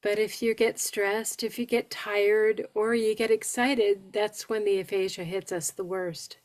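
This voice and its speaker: Slow, deliberate woman with aphasia. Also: mid-range voice, steady tone, a little mellow. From New York State. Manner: slow, deliberate speech with careful pronunciation after aphasia. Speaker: support group guest speaker. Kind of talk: sharing personal experience with a support group.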